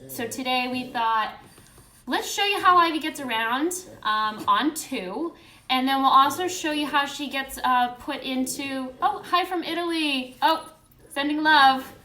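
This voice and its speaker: Woman, bright forceful voice, echoey room, affectionate chatty live-stream host. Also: high-pitched, a little slow. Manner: affectionate, chatty greetings to viewers and dogs. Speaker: host and children's book author. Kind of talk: casual live-stream chat to viewers.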